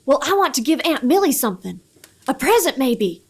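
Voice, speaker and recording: High-pitched earnest frontier boy played by a woman. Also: big pitch swings, a little fast, a little hiss or hum. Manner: earnest, simple phrasing. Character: earnest, polite young child of the Old West. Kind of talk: radio play acting.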